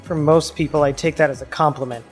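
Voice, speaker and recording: A man's voice: a little high, fairly even intonation, fast talker, soft background music, close to an everyday voice. A man playing a nervous, defensive law firm associate in an audio drama, speaking hesitantly. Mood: defensive, uneasy.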